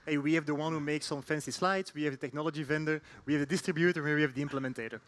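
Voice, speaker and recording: A man's voice: on the high side, steady tone, racing, fairly bright tone. A man moderating a panel discussion, hesitant, with many ums and restarts as he puts his questions.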